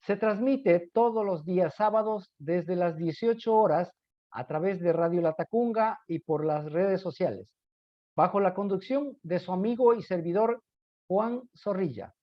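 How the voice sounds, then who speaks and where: A male radio host with an Ecuadorian accent and a notably high voice. He presents formally and courteously, as if from prepared notes. The sound is very dry and a little dull and lo-fi. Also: measured pace, no echo. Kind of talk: scripted radio presenting and interviewing.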